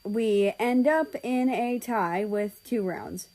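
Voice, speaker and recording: A woman's voice: mid-range voice, takes it slowly, dark tone, low-quality recording. A woman reading a first-person story aloud as scripted narration. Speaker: fan fiction reader.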